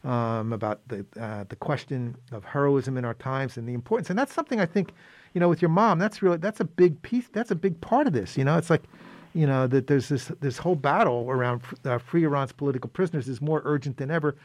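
A man's voice: medium pitch, lively intonation, darkish tone, no echo. Rambling male interview host. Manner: rambling, halting questions full of fillers. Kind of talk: conversational interviewing.

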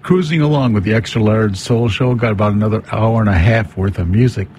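A man's voice: fairly low pitch, brisk, darkish tone. A man hosting a music radio show, chatting casually with a guest. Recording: clearly noisy background.

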